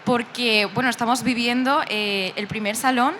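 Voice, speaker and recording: A woman's voice: on the high side, steady tone, normal speed, a bit bright, a little hiss or hum. A woman who is a manga artist guest, talking enthusiastically and laughing easily in a casual interview. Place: busy convention hall.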